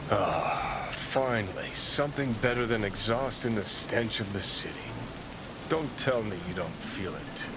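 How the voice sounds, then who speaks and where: A man's voice: lowish voice, expressive, unhurried. An actor playing a cynical, pushy male rebel in a video game, goading and sardonic. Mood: impatient, needling. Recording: rain and street noise behind.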